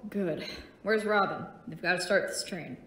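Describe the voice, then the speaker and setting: A woman's voice: fairly low pitch, normal speed, a bit bright, close to an everyday voice. A woman playing an irritable villain's henchman in an audio drama, curt and impatient, heard from a distance in an echoing space. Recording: distant, echoing, faint ambient noise.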